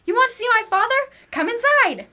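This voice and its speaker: A woman's voice: extremely high pitch, very animated, brisk, pushed and bright, full character voice. An actress playing a distraught, bitter young woman in an old radio mystery, intense and overwrought. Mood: hysterical. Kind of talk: 1950s radio drama acting.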